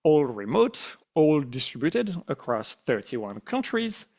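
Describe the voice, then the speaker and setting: A middle-aged man with a French accent, the company founder, giving a relaxed, self-deprecating town hall presentation with frequent fillers. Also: on the high side, slow.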